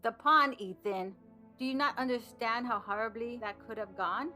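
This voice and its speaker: An actress playing a protective, anxious mother in an audio drama, expressive and full of concern. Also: on the high side, normal speed. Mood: alarmed, scolding. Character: protective, anxious mother, tender with her child. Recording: music under the voice. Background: music.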